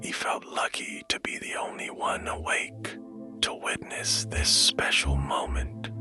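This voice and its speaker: A man narrating a bedtime story in a hushed, gentle and soothing manner, taking his time. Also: rumbling low. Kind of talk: slow, whispered bedtime storytelling. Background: music.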